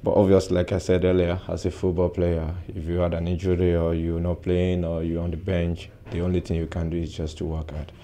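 A young man in his twenties, a footballer giving a soft-spoken post-match interview with many "uh" pauses, recorded indoors close to the mic. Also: low voice, completely flat delivery, a little hiss or hum. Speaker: football player. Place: indoor press backdrop at a stadium.

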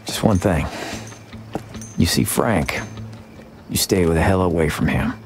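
Low-voiced, stoic cowboy gunslinger. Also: male voice, a little slow, faint music behind. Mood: stern. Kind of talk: video game acting.

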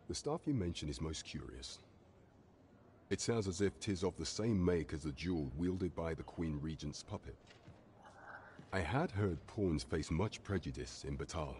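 Very deep, grave guard captain. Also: male voice, darkish tone, slight background noise. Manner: formal, measured, courtly. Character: grave, loyal guard captain in a dark fantasy game. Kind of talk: scripted video game dialogue in archaic English.

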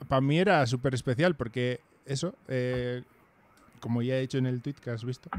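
Male host in his thirties, halting and hesitant, close mic, small home room. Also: medium pitch, fairly even intonation. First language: Basque. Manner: hesitant, many fillers and restarts. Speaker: podcast host. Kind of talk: casual video-call chat. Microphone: headphones and studio mic.

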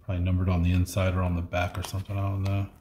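Middle-aged man, deep voice, flat delivery, muttered issue numbers, echoey room, faint hiss. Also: racing. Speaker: comic collection unboxing host. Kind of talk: casual commentary while sorting comics. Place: indoor room at a table. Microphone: fixed camera across the table.

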